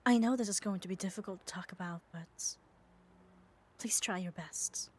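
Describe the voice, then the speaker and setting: A woman's voice: mid-range voice, moderate pace, slightly rough, natural voice. A woman playing a muscular, possessive yet caring yandere in an ASMR roleplay, speaking with coaxing, tender firmness. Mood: tender, apologetic. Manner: coaxing, firm, tender reassurance. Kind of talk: ASMR roleplay audio drama.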